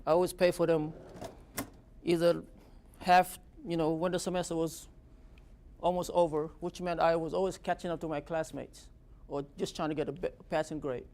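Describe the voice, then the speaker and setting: A man's voice: fairly high pitch, darkish tone. A man from Senegal, an office director speaking informally to present himself and his work. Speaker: government office director giving a talk.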